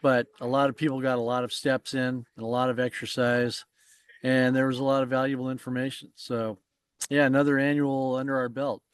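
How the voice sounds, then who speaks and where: A man's voice: medium pitch, level tone, fairly quick, smooth, dry recording. A man co-hosting an interview show who rambles and halts, repeating words as he restarts his sentences. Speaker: co-host. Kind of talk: casual interview chat.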